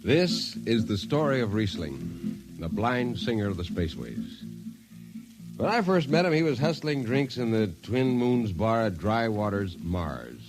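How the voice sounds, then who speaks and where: A plain-spoken American spaceman in a vintage radio drama. His voice is low and moves up and down in an animated way. Music sits clearly behind him. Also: a little slow, low-quality recording. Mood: reminiscing. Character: plain-spoken spaceman narrator, the balladeer's friend. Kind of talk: old-time radio acting and narration.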